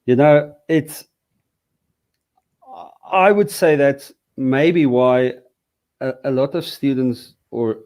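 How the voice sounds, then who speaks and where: A man's voice: mid-range voice, mellow. Hesitant South African man teaching Hebrew. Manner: hesitant, many fillers and restarts. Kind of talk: casual interview conversation.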